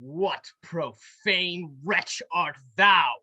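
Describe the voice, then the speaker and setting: A woman playing a proud, indignant old senator and father in a Shakespeare radio play, imperious and deliberate. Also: on the low side, bright, forceful tone, slightly rough, no room sound, highs cut off, heavily acted. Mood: scornful, angry. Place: recorded at home over a video call.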